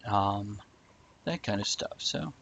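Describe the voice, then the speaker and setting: A man's voice: lowish voice, expressive, takes it slowly, fairly bright tone. A man hosting a live show in casual, irreverent chat, swearing freely as he goes. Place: room, live stream. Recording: muffled, with clear background noise.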